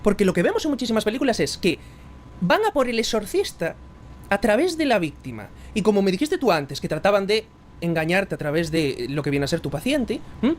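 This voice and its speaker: Quick, animated Galician man. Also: high voice, a little hiss or hum. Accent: Galician Spanish. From Spain, Galicia. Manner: earnest, brotherly, effusive thanks. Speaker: podcast host. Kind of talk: casual interview on a live stream.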